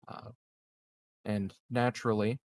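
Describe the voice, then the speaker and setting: A man improvising in-character conversation as a roleplaying player, phrasing things in a measured, halting way. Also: on the low side, flat delivery, a little mellow.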